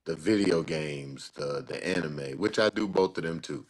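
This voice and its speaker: A man chatting casually as a guest, laid-back, telling stories in a drawn-out way. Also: extremely low pitch. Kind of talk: casual chat.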